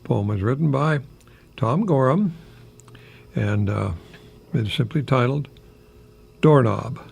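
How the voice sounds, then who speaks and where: An older American man, a retired professor, giving a folksy, rambling radio monologue in a gravelly, husky voice with pauses mid-phrase. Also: medium pitch, lively intonation, a little mellow. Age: older, retired, 60s or above. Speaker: retired English professor hosting a radio program.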